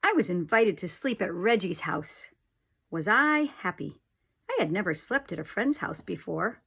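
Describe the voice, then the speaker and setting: A woman narrating a children's storybook like a teacher, with deliberate, expressive phrasing. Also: on the low side, creaky, slightly rough. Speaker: teacher reading aloud to children. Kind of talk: storybook read-aloud narration.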